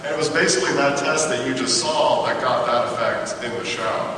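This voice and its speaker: A loud-voiced man explains how an effect was built, leaning on the word basically again and again. He is heard from a distance in a large, reverberant hall with noise behind him. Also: mid-range voice, fairly quick. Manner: loud voice, says basically often. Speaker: makeup effects artist on a panel. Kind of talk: casual panel explanation. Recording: echoey hall recorded from far off.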